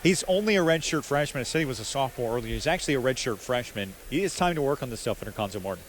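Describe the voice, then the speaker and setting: A man's voice: mid-range voice, animated, rapid-fire, rough recording. An American man giving conversational, opinionated live basketball analysis as a radio color commentator at courtside. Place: courtside in a sports arena. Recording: live arena broadcast with clear background noise.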